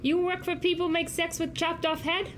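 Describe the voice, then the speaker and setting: A woman's voice: very high-pitched, flat delivery, moderate pace, noisy background. A woman playing a stern older salon owner, blunt and scolding. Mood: disapproving.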